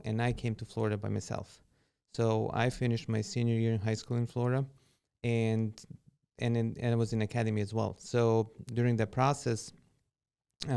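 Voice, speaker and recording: A man in his forties, from Serbia, talks as a guest in a casual interview. His voice is smooth yet gravelly and warm in tone. He speaks in an unhurried way, filling gaps with little fillers. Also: medium pitch. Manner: relaxed, unhurried with frequent fillers. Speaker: podcast guest, real estate agent.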